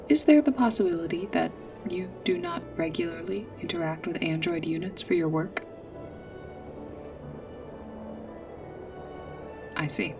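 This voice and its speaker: Woman as android companion for sci-fi ASMR roleplay: low-pitched, polite, earnest, formally precise, a little hesitant; set back from the mic, clear music behind. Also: far from the mic, close to an everyday voice. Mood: polite, measured. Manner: formal, precise, literal wording with hesitant pauses. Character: polite, earnest female android guide on a snowy planet.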